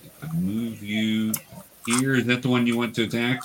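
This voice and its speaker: A man's voice: mid-range voice, steady tone, moderate pace, noisy background. Man patiently running a tabletop game. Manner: patient step-by-step explaining. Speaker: game master teaching young players. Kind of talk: running a tabletop roleplaying game, casual instruction.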